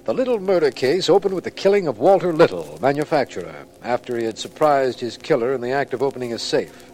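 An actor playing a brisk, skeptical, matter-of-fact district attorney in a 1940s radio drama. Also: male voice, mid-range voice, big pitch swings, a little mellow, natural voice. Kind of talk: 1940s radio drama acting and case narration. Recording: noisy old radio recording.

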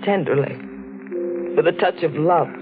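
A woman acting an intense, troubled, secretive young woman in a 1940s radio melodrama, with deliberate, dramatic phrasing. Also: sits low, music in the background. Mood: tender, yearning. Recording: faintly noisy, narrow-band old radio recording.

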